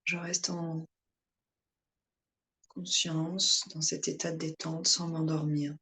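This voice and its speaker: A woman leads a guided relaxation as a yoga teacher. Her delivery is calm and soothing, very slow and broken into short phrases. The voice stays flat and even, with a noticeable creak. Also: sits low. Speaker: yoga nidra instructor.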